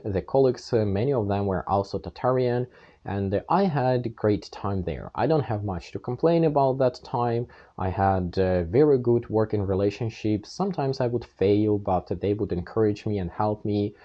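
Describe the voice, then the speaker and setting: A man's voice: lowish voice, mellow, lo-fi sound. A young man from Ukraine with a Ukrainian accent, earnestly recounting his own past in a plain-spoken monologue. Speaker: channel host. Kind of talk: personal storytelling monologue.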